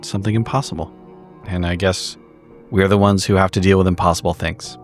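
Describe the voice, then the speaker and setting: A man playing a tabletop game, describing his character's choices conversationally in a reflective, halting way. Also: low voice, fairly even intonation. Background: background music.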